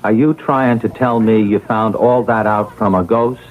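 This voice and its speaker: An actor in a classic radio drama playing a bossy, skeptical small-time crook and husband, blunt and colloquial. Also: male voice, on the low side, steady tone, normal speed, natural voice. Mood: disbelieving. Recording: lo-fi vintage radio broadcast.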